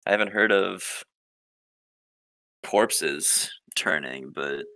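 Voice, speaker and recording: Deep, gravelly man chatting casually. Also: very slow, pushed and bright, no room sound. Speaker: tabletop roleplay player. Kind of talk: casual in-character tabletop roleplay chat.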